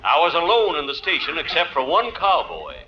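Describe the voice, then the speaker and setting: A man playing a town marshal who narrates with wry, mock-solemn deadpan in an American radio comedy. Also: fairly high pitch. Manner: deadpan, measured comic pauses. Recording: muffled, noisy old radio recording.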